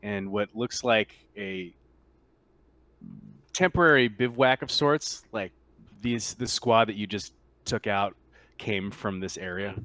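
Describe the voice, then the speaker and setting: Male game master, lower register, improvised and conversational scene description for tabletop play. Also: slow, a bit bright, no room sound. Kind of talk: narrating a tabletop roleplaying game session.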